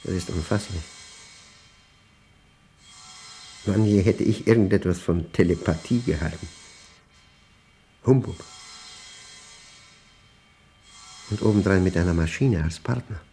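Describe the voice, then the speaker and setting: A man acting an obsessive, unravelling professor who dictates his diary in a German radio play, with emphatic, deliberate diction and short clipped phrases. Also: sits low, mellow, soft background music. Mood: defiant, disbelieving. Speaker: radio drama actor.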